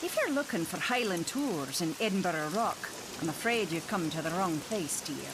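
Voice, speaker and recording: A woman playing a brisk, acerbic middle-aged doctor in a motion comic. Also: fairly low pitch, lively intonation, noisy background, close to an everyday voice.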